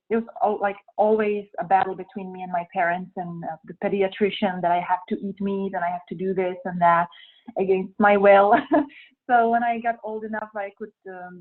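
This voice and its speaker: A young woman with a Czech accent answering interview questions, hesitant and thoughtful, with many ums and uhs. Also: medium pitch. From Czech Republic. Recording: tinny phone-like sound.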